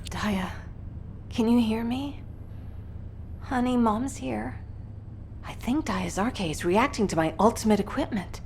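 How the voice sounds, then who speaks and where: An actress playing a gentle, guilt-ridden mother and soldier in a video game, earnest and apologetic. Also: fairly high pitch, unhurried, pauses often, slight background noise. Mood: worried, tender. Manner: soft-hearted, earnest, apologetic. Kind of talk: dramatic video game voice acting.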